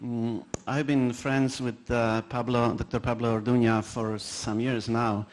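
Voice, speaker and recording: Halting Polish-accented man giving a talk. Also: medium pitch, little pitch movement. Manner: halting, many fillers and restarts; anecdotal, self-deprecating. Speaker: conference presenter. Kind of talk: conference talk.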